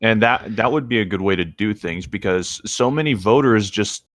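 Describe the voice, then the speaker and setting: Lowish guy voice, really lively up and down, dry mic, casual podcast chat. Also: moderate pace, dry recording. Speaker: co-host reading aloud. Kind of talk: casual chat and fan fiction reading.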